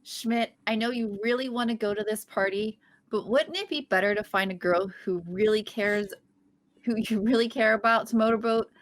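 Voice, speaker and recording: A woman's voice: a little high, normal speed, natural voice. A woman reading a quirky, nerdy young woman in an amateur table read. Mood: earnest. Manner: playful, goofy.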